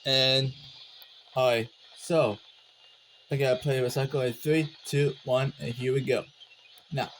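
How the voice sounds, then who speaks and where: A man hosting a casual hobby demonstration video, speaking in a halting, rambling, apologetic way. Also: medium pitch, steady tone, slight background noise, poor audio.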